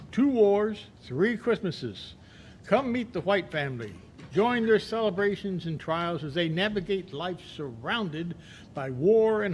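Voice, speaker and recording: A man playing a brisk promo herald. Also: high voice, slight background noise. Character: upbeat male herald making announcements. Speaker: announcer in an audio play.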